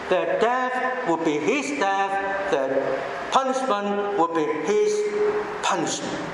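A man with a Cantonese accent, a native Cantonese speaker, preaching a sermon in short, deliberate phrases in a large church hall. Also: high voice. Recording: echoey hall with background noise.

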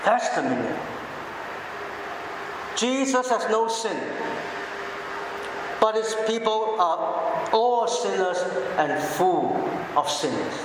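A man with a Cantonese accent, a native Cantonese speaker, preaching a sermon in short, deliberate phrases in a large church hall. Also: sits high, big pitch swings. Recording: echoey hall with background noise.

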